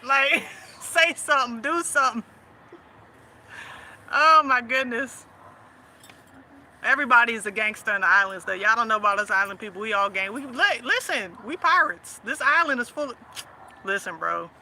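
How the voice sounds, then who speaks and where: A woman's voice: a little high, normal speed, long pauses, pushed and bright, a little hiss or hum. A woman with a Southern US accent hosting a casual chat, laughing often and teasing her audience. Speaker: host.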